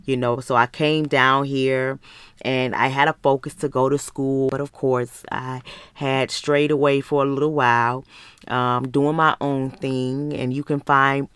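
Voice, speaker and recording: A woman's voice: a bass voice, little pitch movement, measured pace, fairly bright tone, smooth, dry recording. An American woman in her thirties talking as a faith-based podcast host, in an earnest, encouraging, conversational way. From Michigan, United States. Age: 30s. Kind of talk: personal podcast monologue, faith-based motivational talk.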